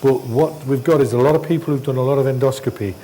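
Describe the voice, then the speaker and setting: A man's voice: medium pitch, fairly even intonation, dark tone, a little hiss or hum. Blunt man coaching endoscopy. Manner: blunt, brisk instructions, dismissive asides. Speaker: endoscopy trainer. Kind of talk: hands-on teaching, coaching a trainee. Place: hospital endoscopy training room.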